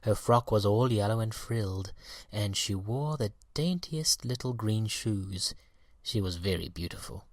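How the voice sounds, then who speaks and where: A man's voice: on the low side, measured pace, no echo. Man narrating a children's story, dry sound. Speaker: audiobook reader.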